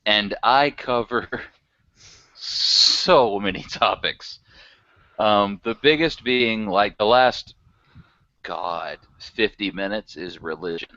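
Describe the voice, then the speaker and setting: A man's voice: on the low side, slow, bright, forceful tone, no room sound. A man chatting casually as a podcast guest, rambling and chuckling often. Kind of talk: casual chat. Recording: dry, dull lo-fi recording.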